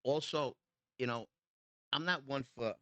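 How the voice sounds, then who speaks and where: A man's voice: mid-range voice, unhurried, bright, forceful tone, no room sound. A man in his sixties, a custom chopper builder, chatting bluntly in an interview and swearing freely. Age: 60s. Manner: defiant, preachy toward critics. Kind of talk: casual interview chat.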